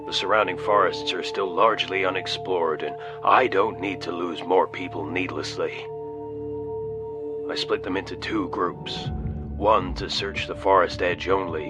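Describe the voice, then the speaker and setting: An actor playing a solemn colony leader in an audio drama, logging events in a grave, measured, terse way. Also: male voice, low-pitched. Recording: music under the voice.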